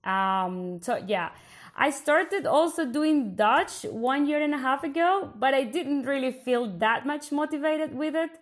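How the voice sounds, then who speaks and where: A woman's voice: sits high, lively intonation, a little slow. A young Colombian woman with a Spanish accent, co-hosting a podcast in a thoughtful, casual way with fillers. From Bogotá, Colombia. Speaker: podcast co-host. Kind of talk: casual chat.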